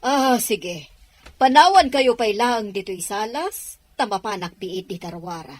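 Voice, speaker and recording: A woman playing a placating, pushy middle-aged mother in an audio drama, gushing and eager to smooth things over. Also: medium pitch, lively intonation, a little slow, no room sound. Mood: admiring, sociable.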